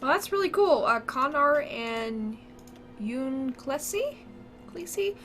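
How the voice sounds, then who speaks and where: A woman's voice: high voice, lively intonation, takes it slowly, fairly bright tone. A woman co-hosting a game stream, chatting casually and reading viewer comments. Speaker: co-host reading chat. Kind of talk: casual chat.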